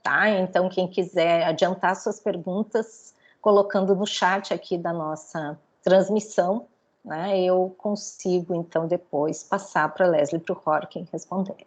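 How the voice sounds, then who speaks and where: A middle-aged professor introducing speakers at an online lecture, chatty and full of filler tags. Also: female voice, lowish voice, fairly even intonation, measured pace. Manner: conversational, frequent filler tags. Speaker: professor and event host.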